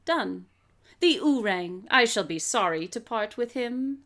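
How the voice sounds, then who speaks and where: A woman's voice: fairly high pitch, lively intonation, fairly bright tone. Composed, shrewd lady detective. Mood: coolly probing. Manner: formal, measured, authoritative. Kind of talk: live radio drama acting.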